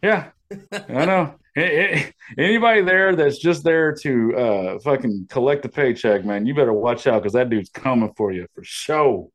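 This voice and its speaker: A man's voice: mid-range voice, normal speed. A man co-hosting a podcast in a casual, rambling, jokey and profane storytelling style, with a powerful voice. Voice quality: loud, powerful voice. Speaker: podcast co-host. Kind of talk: casual comedic chat, telling workplace stories.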